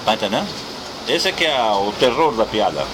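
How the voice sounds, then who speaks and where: A man's voice: fairly low pitch, big pitch swings, a little fast. A man with a southern Brazilian accent chatting casually about politics, often checking that he is understood. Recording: very loud music, outdoor vehicle noise.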